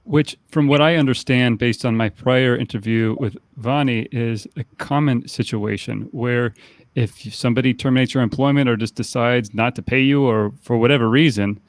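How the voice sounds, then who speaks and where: Guy moderating a panel, gravelly voice, dry close sound, careful and wordy. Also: mid-range voice, steady tone, moderate pace, no room sound. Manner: careful, wordy, managing time. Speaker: podcast co-host, moderator.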